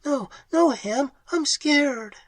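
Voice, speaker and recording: A reader voicing Pat, a quick-witted young woman explorer, speaking tensely and urgently. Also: a little high, wildly swooping pitch, breathy edge, no echo, pitched above the performer's own voice, heavily acted. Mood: tense, scared. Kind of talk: audiobook narration.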